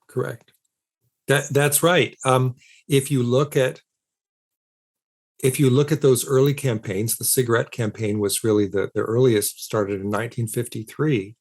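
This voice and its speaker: A man speaking as an expert guest in an interview, explaining emphatically with halting, repeated starts to his sentences. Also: mid-range voice, steady tone. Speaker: interview guest, philosopher of science and author.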